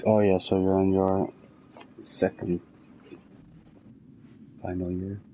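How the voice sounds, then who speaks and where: A man's voice: sits low, monotone, takes it slowly, warm tone, a little hiss or hum, low-quality recording. A man streaming a video game, chatting casually and thinking aloud as he plays. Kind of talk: casual chat while gaming.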